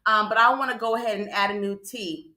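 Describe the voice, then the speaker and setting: Bright, emphatic woman preacher in a roomy space. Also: medium pitch, steady tone, quick pace. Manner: emphatic, repeats phrases, checks in with listeners. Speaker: guest preacher hosting a morning livestream. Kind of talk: motivational sermon to a live online audience. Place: indoor room on a livestream. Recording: roomy, echoing livestream audio.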